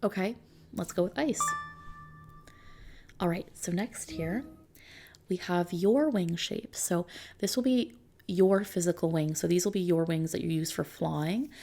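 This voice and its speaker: A soft-spoken woman doing an ASMR roleplay as a consultant, explaining options to a client in an unhurried manner. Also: on the low side, slight background noise.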